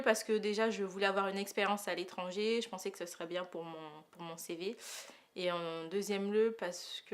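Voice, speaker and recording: A thirty-year-old woman from Paris gives a spontaneous personal testimonial, pausing often as she thinks aloud in a hesitant way. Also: fairly low pitch. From Paris, France. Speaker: testimonial interviewee.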